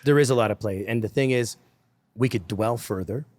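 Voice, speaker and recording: A man's voice: on the low side, a little fast, darkish tone. A man hosting a casual interview podcast, joking and teasing his guest. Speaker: podcast host.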